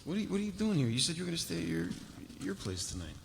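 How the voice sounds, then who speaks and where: An actor playing a cocky, fast-talking young drug dealer who stammers when flustered, in a live table read of a TV drama. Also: male voice, on the high side, gravelly, full character voice. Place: large hall, live festival stage. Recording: reverberant hall, steady background hiss.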